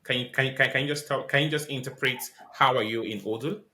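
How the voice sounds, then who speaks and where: A man's voice: mid-range voice, fast talker, pushed and bright. A man hosting a podcast interview, asking questions politely with many ums and restarts. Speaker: podcast host.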